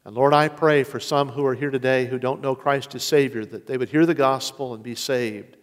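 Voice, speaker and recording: A man preaching a sermon as pastor, earnest, slowing down to stress key words. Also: medium pitch, steady tone. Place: church sanctuary. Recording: long reverb of a large hall.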